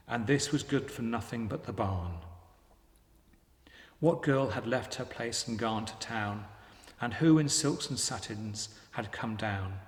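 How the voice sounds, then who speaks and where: A man recites rhymed poetry at a slow, deliberate pace. His voice sits at a middling pitch. The room rings around him with long, hall-like echo. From England. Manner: measured, deliberate delivery of rhymed verse. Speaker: poetry reader. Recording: echoey, reverberant hall.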